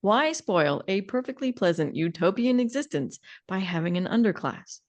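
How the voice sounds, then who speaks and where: A woman's voice: mid-range voice, very animated, normal speed. A woman co-hosting a podcast in casual discussion, musing wryly and often breaking off to rephrase her thoughts. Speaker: podcast co-host.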